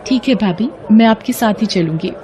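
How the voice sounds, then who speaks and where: A woman voicing a meek, kind young daughter-in-law in a cartoon family drama, speaking softly and respectfully. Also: mid-range voice, moderate pace, natural voice. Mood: obedient. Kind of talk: Hindi cartoon family melodrama acting.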